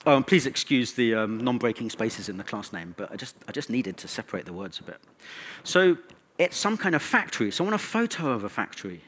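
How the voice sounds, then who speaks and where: Male conference presenter, mid-pitched voice, informal and conversational delivery with dry, wry asides. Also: a bit bright, slightly rough. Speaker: conference speaker. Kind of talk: conference talk.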